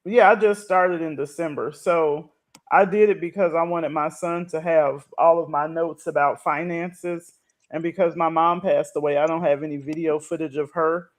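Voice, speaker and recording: A woman's voice: low-pitched, steady tone, normal speed. A woman podcast host chatting casually in an earnest, explanatory way. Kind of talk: casual chat.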